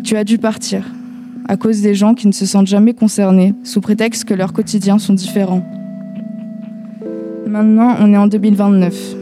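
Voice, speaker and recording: A woman delivers a scripted poetic piece in a very even, flat tone. Guitar music plays clearly behind her voice as she reads. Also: medium pitch, normal speed. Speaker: radio columnist. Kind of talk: scripted poetic monologue read aloud.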